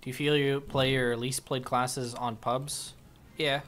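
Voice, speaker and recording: A relaxed young man chats with his stream audience as he games, answering viewer questions in an easy, unhurried way. His voice sits in a middle register, and a faint hiss runs under it. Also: medium pitch. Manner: relaxed, answers chat questions between plays. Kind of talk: casual live-stream chat while gaming.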